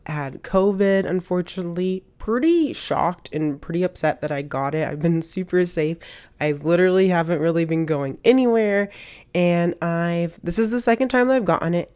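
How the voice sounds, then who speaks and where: A young woman hosts her solo podcast in a bubbly, gushing way, tossing out hype-girl slang to her listeners. Her voice sits a bit low for a woman and sounds slightly stuffed up from a cold. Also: a little mellow, clean tone. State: congested from a cold. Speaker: podcast host. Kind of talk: upbeat solo podcast monologue.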